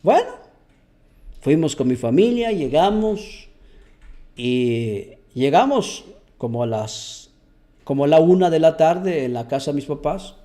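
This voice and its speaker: High, lively-voiced man preaching. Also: takes it slowly, pauses often. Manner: earnest, repeats words when searching. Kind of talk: testimony and bible teaching.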